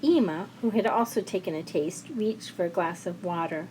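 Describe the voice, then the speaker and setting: A woman's voice: fairly low pitch, normal speed, mellow, poor audio. A woman reading scripted fiction as a storyteller. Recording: faint background ambience noise.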